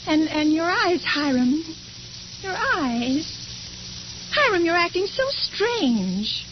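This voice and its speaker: An actress playing a frightened, anxious wife in old-time radio suspense style, nervous, hesitant and pleading. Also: female voice, very high voice, big pitch swings, slow pace, exaggerated voice. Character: frightened, anxious wife trapped with sinister husband. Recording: noisy, hissy, muffled old radio recording.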